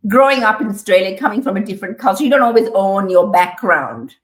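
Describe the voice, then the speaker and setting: A middle-aged woman from Sri Lanka speaks as a guest over a video call from an office. She talks in a friendly, chatty way, with plenty of ums and laughs. Her voice sits at a middle pitch, and the room around her sounds echoey. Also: lively intonation, fast talker. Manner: warm, chatty, laughs often, many um fillers. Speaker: guest, community organisation CEO.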